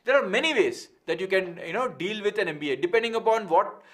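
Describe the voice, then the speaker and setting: A man's voice: sits high, animated, quick pace, a bit bright. A man with an Indian accent teaching a live online coaching session, explaining frankly with rhetorical questions and answering viewers. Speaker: exam coaching instructor.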